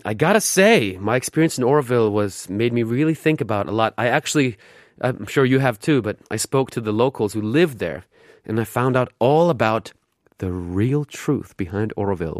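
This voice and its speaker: A middle-aged man hosting a radio show in a studio, relaxed and chatty with many fillers. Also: on the low side, normal speed, dry recording. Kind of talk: casual radio chat. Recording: dry studio sound, faint music behind.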